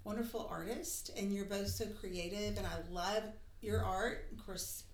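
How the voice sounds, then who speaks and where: A woman's voice: mid-range voice, fairly even intonation, measured pace. A woman co-hosting a casual podcast conversation in an effusive, encouraging way. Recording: roomy, echoey sound.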